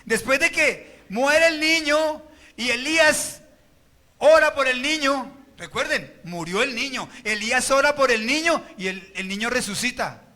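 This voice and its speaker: A man's voice: extremely high pitch, animated, unhurried, bright, forceful tone. A Colombian man preaching a sermon as pastor at a church service, firing rhetorical questions at the congregation.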